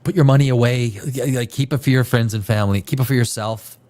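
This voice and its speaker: A man's voice: medium pitch, brisk, a little mellow. A man hosting a live stream, chatting casually with his viewers and reading their comments. He rambles and repeats himself, and sounds stuffed up from a cold. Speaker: livestream host.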